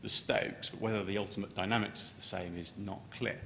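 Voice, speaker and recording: A man giving an unscripted technical conference presentation as a scientist, halting and often repeating words as he starts a phrase. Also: steady tone, gravelly, sharp tone. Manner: halting, with stammered word repetitions. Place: large conference room. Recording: echoey hall, noticeable background noise, low rumble.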